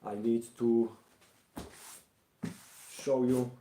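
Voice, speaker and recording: Man, Bulgarian accent, slow halting delivery, mellow tone, casual craft livestream host, thinking aloud. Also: mid-range voice, flat delivery, roomy sound, slight background noise. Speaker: craftsman hosting a live stream. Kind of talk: casual live craft demonstration.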